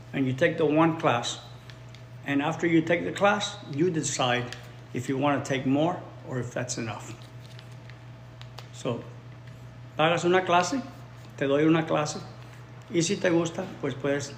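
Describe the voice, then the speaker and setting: A man's voice: fairly high pitch, slight background noise. A man whose first language is Spanish, teaching English and chatting casually with viewers on a livestream, often repeating his phrases for learners. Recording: roomy echo, faint ambience.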